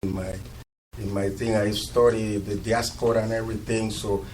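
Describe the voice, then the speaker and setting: A Panamanian man whose first language is Spanish speaks at a community meeting with a Spanish accent, halting and searching for words with many fillers. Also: fairly low pitch, little pitch movement, a little mellow, distant mic, a little hiss or hum, low-quality recording. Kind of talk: public comments at a meeting. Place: art gallery meeting room.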